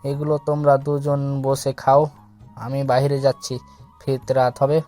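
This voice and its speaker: A man's voice: mid-range voice, monotone, measured pace, warm tone, natural voice. A man playing a stubborn, flippant young bachelor in an audio love story. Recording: music under the voice.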